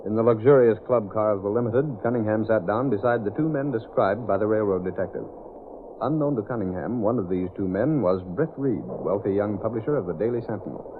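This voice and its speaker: An actor playing a solemn announcer, narrating an old-time radio serial in a dramatic scripted style. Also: male voice, fairly low pitch, fairly quick, background noise, lightly acted. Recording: tinny old radio recording.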